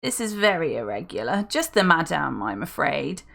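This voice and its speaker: A woman reading aloud voices an aloof old male butler with a raspy voice and a posh upper-class English accent, speaking slowly. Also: deep voice, very animated, fairly bright tone, full character voice. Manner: slow, measured, haughty.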